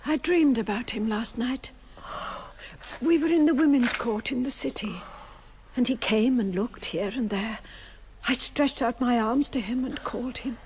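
Highish, patient, devout mother played by an actress. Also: a little slow, darkish tone, a little hiss or hum, natural voice. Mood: soothing, wistful. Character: devout, patient imprisoned mother comforting her daughter. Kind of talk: radio drama acting.

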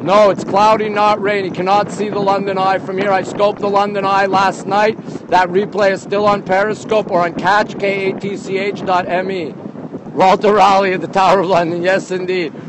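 A man chats casually to live viewers in short, excitable bursts, repeating his phrases. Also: high voice, normal speed. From Montreal, Canada. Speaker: livestream host. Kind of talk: casual live walking tour chat. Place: outdoors on a city bridge by a river. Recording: windy outdoor phone, ambient noise. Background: wind and light outdoor noise.

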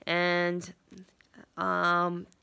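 A young woman talks into her phone from the couch, her voice stuffy and congested from a sinus infection. Her tone sits fairly low yet bright and clear, and she rambles along with plenty of ums and restarts. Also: completely flat delivery, extremely slow. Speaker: vlogger. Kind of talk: casual vlog update. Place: small living room indoors, on a couch. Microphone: phone front camera at arm's length.

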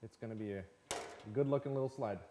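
A man's voice: mid-range voice, quick pace. A man in his forties giving a casual, matter-of-fact how-to talk with folksy, plain-spoken phrasing. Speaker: host of a snowmobile build series. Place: indoor garage workshop full of tools. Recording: clearly noisy workshop recording with clicks.

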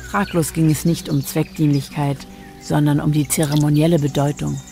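A woman narrating a scripted radio drama, with a creaky voice. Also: low voice, flat delivery, normal speed. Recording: music behind the voice.

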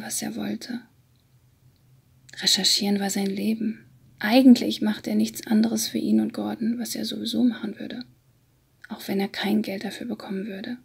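Woman reading a novel, breathy and whispery, fry in the voice. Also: a little high, a little mellow. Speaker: audiobook narrator. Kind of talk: scripted literary narration.

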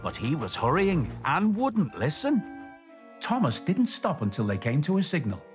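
A middle-aged man presenting and telling stories to children as a station master, expressive and clearly enunciated. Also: fairly high pitch, moderate pace, faint music behind.

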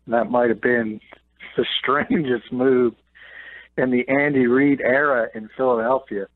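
A man calls in over a thin, tinny phone line, his voice dry and close. He breaks down football news in a quick, chatty way with short laughs. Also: medium pitch, steady tone, no echo. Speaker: NFL columnist guest. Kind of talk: sports radio analysis by phone.

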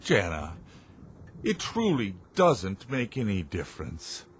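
An actor playing a tender, earnest older inventor father in a radio drama, placating and halting. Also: fairly low pitch, huge pitch swings, slight background noise, heavily acted. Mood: earnest pleading.

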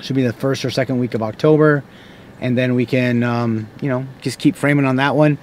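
An American man in his thirties chats casually as a contractor making a vlog. His voice sits at a medium pitch and he rambles, often restarting his sentences. He is recorded inside a car, with some steady noise behind him. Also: brisk. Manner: rambling, with frequent false starts. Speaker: renovation contractor and vlogger. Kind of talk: casual unscripted vlog talk.

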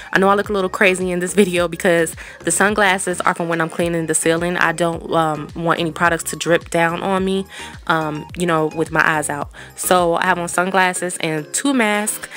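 A woman talks over her own cleaning video in a relaxed, chatty way, filling pauses with ums and you-knows. Her voice sits on the low side. Soft music plays faintly underneath. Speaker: cleaning vlogger.

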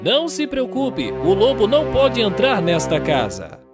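Eldest cartoon piglet brother: responsible, earnest, cautious, highish male voice with big swoops. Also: wildly swooping pitch, unhurried, over music, heavily acted. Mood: firm.

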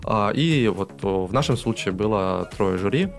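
A man in his thirties, a guest in a studio interview, explaining his tourism project with many pauses and fillers. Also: on the low side, expressive, gravelly, soft background music. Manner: explanatory, with frequent hesitations. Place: radio studio. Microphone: studio mic on stand.

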